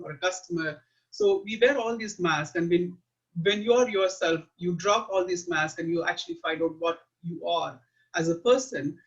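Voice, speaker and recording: A man's voice: sits high, fairly bright tone. Earnest man with an Indian accent. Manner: earnest, measured, with frequent uh fillers. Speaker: guest. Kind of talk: reflective interview talk. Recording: muffled lo-fi audio.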